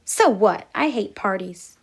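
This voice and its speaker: A woman's voice: mid-range voice, animated, measured pace, slightly rough. A woman voicing a grumpy, bored young boy bunny in a children's story, sulky and dismissive.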